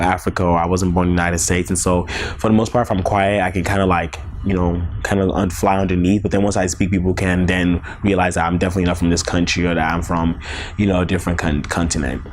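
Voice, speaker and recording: A young man from Nigeria with a Nigerian accent, Yoruba his first language, giving halting casual interview answers full of "like" fillers. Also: low voice, level tone, a little hiss or hum.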